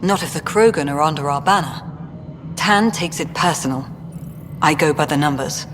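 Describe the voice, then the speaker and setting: Stern sci-fi colony director, played by a woman: low voice, clipped and pragmatic, heard in a noisy reverberant hall. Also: normal speed, close to an everyday voice. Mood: dry, guarded. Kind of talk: video game dialogue acting. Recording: hall reverb with clear background noise.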